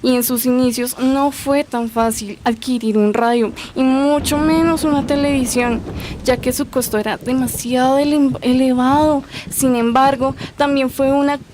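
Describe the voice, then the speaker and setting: A woman's voice: high-pitched, steady tone, normal speed, lightly acted. A woman playing an earnest mother who lectures her children in an amateur radio drama. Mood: earnest lecturing. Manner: earnest, didactic. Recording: noisy amateur recording.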